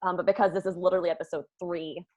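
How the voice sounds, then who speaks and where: A woman's voice: fairly low pitch, flat delivery, racing, a little mellow, lo-fi sound. A woman leading a script table read as its writer, talking casually with the group and tossing in joking asides.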